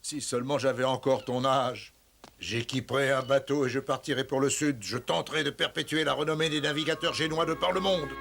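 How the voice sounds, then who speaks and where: A man's voice: mid-range voice, fairly even intonation, fairly quick, gravelly, a bit bright. A man playing a nostalgic, proud old seafarer who instructs and reminisces in a dubbed cartoon. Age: older. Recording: music behind the voice.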